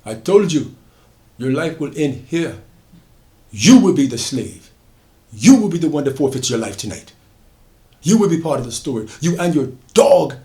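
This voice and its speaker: A man's voice: a little high, warm tone, reverberant. A man voicing a defiant enslaved fighter. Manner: fierce, declamatory.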